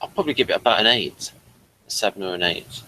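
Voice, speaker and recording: A man hosting a TV review show in casual, unscripted chat, haltingly rephrasing as he goes. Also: lowish voice, expressive, bright, forceful tone, rough recording.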